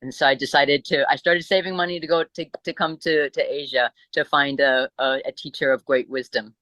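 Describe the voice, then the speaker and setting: An American woman speaking reflectively as an interview guest, halting as she searches for words. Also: very deep voice, steady tone, a bit bright, dry recording. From born in Italy, grew up in the United States. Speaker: interview guest, Buddhist teacher.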